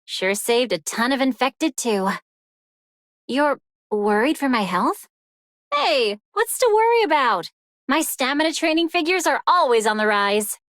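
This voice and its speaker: Game role: confident, athletic young woman fighter, high-pitched, breezy, animated. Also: a little slow, no room sound, clean studio sound, heavily acted. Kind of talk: video game character voice lines.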